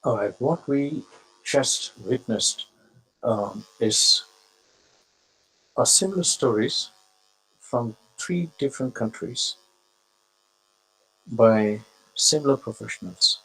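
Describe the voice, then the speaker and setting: Gravelly, husky man lecturing haltingly. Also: mid-range voice, expressive, fairly bright tone, rough recording. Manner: hesitant, many filler sounds. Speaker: guest lecturer. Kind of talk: academic lecture.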